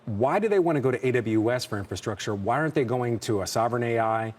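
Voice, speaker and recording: A man's voice: mid-range voice, lively intonation, fast, a little mellow. A man anchoring a live business news interview, reading out long quotes and asking pointed questions.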